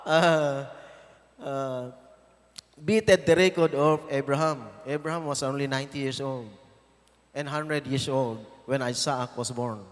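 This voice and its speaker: A man's voice: mid-range voice, steady tone, a little slow, long reverb tail. A man in his fifties with a Filipino accent, preaching a sermon as guest pastor, emphatic and repeating phrases for stress. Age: 50s. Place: church.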